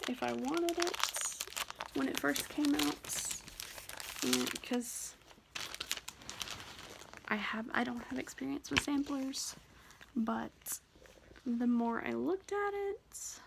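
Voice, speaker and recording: A young woman with a soft, quiet voice, chatting shyly and a bit nervously about her stitching projects in a casual vlog. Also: high voice, lively intonation, slow, creaky, long pauses, very noisy. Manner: shy, rambling, nervous. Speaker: cross-stitch vlogger. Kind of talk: casual show-and-tell vlog.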